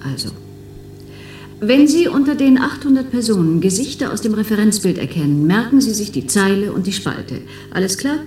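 An actress playing a dutiful, cool clinic test examiner in a German radio play. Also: female voice, mid-range voice, lively intonation, normal speed, mellow, slight background noise, natural voice. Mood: businesslike. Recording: roomy, echoing studio sound.

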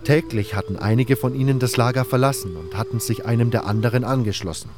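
A man's voice: medium pitch, fast. A man reading scripted first-person narration for a fantasy audio drama. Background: music.

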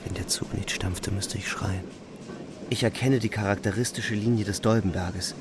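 An actor playing a weary, haunted young soldier, narrating a radio play in brooding, restrained short sentences. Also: male voice, low voice, fairly even intonation, moderate pace, background noise, close to an everyday voice. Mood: brooding, tense. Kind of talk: literary radio play narration, inner monologue.

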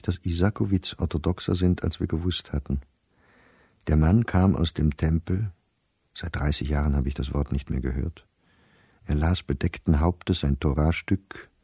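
An actor playing an embittered, anxious middle-aged professor reading his diary in a radio play, in a measured, weary manner. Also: rumbling low, creaky, dark tone, slightly rough, no room sound, studio quality, exaggerated voice. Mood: bitter, weary. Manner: measured, weary, dropping to near-whisper at grim thoughts. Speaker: diarist narrator.

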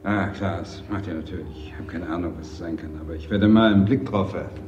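A man's voice: deep voice, fast talker, darkish tone, highs cut off, natural voice. An actor playing a curious but cautious male professor in a German radio play, speaking tentatively. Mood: bluffing. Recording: echoey room, some background noise.

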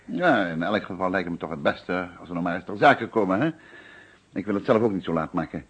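A man's voice: deep voice, a little fast, fairly bright tone, husky. An actor playing a courteous, sociable male insurance representative in a Dutch radio play, speaking formally and chattily with little tag questions. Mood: courteous, considerate.

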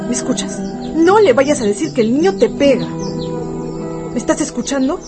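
A woman's voice: high voice, lively intonation, moderate pace, over music. An actress playing an anxious servant mother, urgent and insistent with her young son, in a radio drama. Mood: urgent, resigned.

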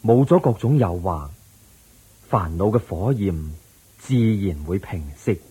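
An actor playing a serene, wise Buddha in a Cantonese radio drama, teaching patiently and solemnly with a Hong Kong accent in drawn-out phrases. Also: male voice, sits low, lively intonation, dark tone, breathy edge, full character voice, low-quality recording.

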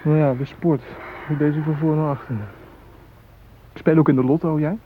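An actor playing a chatty, naive young technical-school student, rambling colloquially in a radio drama, with a husky voice. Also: male voice, on the high side, fairly even intonation, moderate pace, a little hiss or hum, natural voice. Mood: chatty, boyish. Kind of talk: radio drama dialogue, casual chat. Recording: tinny phone-like old radio recording.

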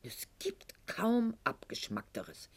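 An actress playing a proud, sharp-witted aristocratic lady in a radio play monologue, speaking with haughty irony and elaborate eloquence. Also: deep voice, wildly swooping pitch, slow, husky, whispered, heavily acted. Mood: disdainful.

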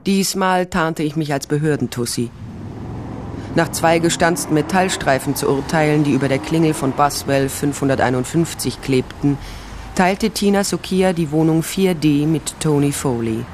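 A woman's voice: deep voice, moderate pace, noisy background, close to an everyday voice. Wry female investigator played by an actress. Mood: dry, wry. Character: shrewd, wry female investigator telling her own case. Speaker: narrator and lead investigator. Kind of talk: radio crime drama, first-person narration and dialogue.

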